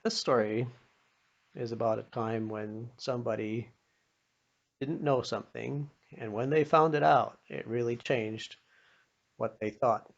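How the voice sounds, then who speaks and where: An older man telling personal anecdotes in an informal, folksy, halting way. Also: medium pitch, gravelly. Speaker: storyteller. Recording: dull, lo-fi sound.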